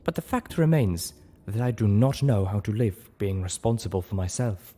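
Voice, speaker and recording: A man voicing a scarred zebra mare, a weary former slave, speaking low and heavy in grave, halting phrases. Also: animated, mellow. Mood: sorrowful. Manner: grave, halting short commands.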